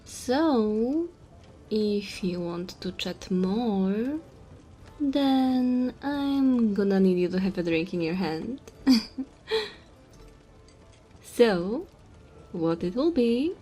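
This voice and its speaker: A woman's voice: mid-range voice, expressive, extremely slow, pauses often, mellow, husky, soft background music, full character voice. A woman playing a small, cheeky goblin bartender in a fantasy audio roleplay, teasing and chatty with the customer. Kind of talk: fantasy audio roleplay, addressing the listener softly.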